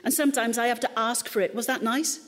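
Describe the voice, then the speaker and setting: A middle-aged woman speaking to a church congregation in a chatty, joking way. Her voice sits a little high for a woman, and the long reverb of a hall hangs on every word. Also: fairly even intonation, fast. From Wales. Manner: chatty, humorous, conversational. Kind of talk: sermon talk.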